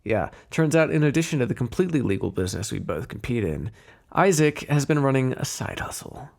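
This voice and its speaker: A man playing a flirtatious, menacing mob boss in an ASMR roleplay, smooth-talking in a teasing, insinuating way with drawn-out phrasing. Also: medium pitch, big pitch swings, a little mellow. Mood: sly, businesslike. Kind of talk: ASMR roleplay voice acting, one-sided dialogue.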